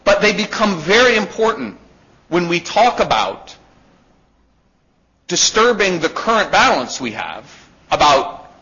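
A man with a loud voice giving a live talk to an audience, emphatic and peppering his points with "right?". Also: sits high, big pitch swings, a little slow, pauses often. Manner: emphatic, with rhetorical "right?" checks. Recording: dull lo-fi recording.

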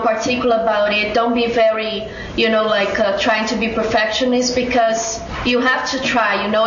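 A woman whose first language is Portuguese, giving advice on a panel in a halting way with many fillers and restarts. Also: fairly high pitch, a bit bright, far from the mic. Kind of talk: panel discussion. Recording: echoey room, dull lo-fi audio with noise.

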